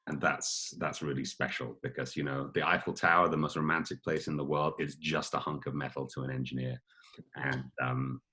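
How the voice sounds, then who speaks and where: A man speaking as a guest in an informal fireside chat, joking and self-deprecating, with thoughtful pauses. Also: extremely low pitch, fairly bright tone, slightly rough. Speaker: guest speaker, art and engineering teacher.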